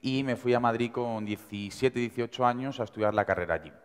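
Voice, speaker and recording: Young Andalusian male speaker, twenties, quick and energetic, mid-pitched, heard in a reverberant hall. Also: smooth. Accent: Andalusian Spanish. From Seville, Spain. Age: 20s. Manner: fast, energetic, conversational. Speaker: invited speaker. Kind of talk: motivational talk to students. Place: large university auditorium.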